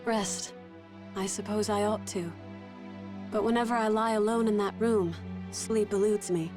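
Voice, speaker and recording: An actress voicing a reserved, solemn young noblewoman with a formal, measured manner in a video game. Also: medium pitch, fairly even intonation, close to an everyday voice. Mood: troubled, sorrowful. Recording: music behind the voice.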